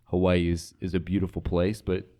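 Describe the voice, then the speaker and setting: A young American man with a very low voice speaks in a casual interview. He is earnest and reflective, leaning on colloquial filler as he thinks things through. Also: flat delivery, normal speed, mellow. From North Dakota, United States. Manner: informal, reflective, earnest, with frequent "like". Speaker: interview guest, radio sales associate.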